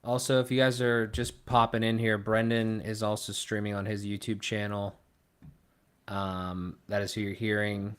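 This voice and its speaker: Young guy from pittsburgh streaming, lowish voice, mumbling to himself as he clicks around. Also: flat delivery, normal speed, smooth. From Pittsburgh, Pennsylvania. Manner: thinking aloud, trails off into low mumbles. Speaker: livestream host. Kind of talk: casual live co-stream chat. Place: small room at a desk.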